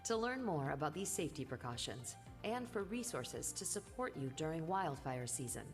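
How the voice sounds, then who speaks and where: Low, even-toned female voice-over for a utility safety video, played back in a hall with music under it. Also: normal speed. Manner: calm, even, informative. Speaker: video narrator. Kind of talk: scripted corporate voice-over.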